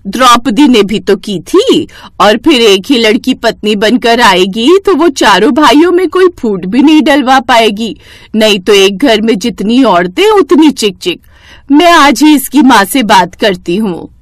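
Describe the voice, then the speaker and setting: A woman voicing a brisk, persuasive older village aunt in a storybook tale. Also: fairly high pitch, expressive, dry recording. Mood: amused, persuasive.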